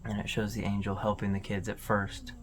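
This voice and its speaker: A man hosting a casual video commentary, talking in an informal, halting, rambling way. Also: fairly low pitch, monotone, slight background noise. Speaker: video host.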